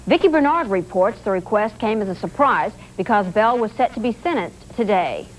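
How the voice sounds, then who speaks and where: A woman's voice: mid-range voice, lively intonation, normal speed, a little hiss or hum, low-quality recording. A woman anchoring a television newscast, reading from a script, on an old 1980s broadcast tape. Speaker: TV news anchor.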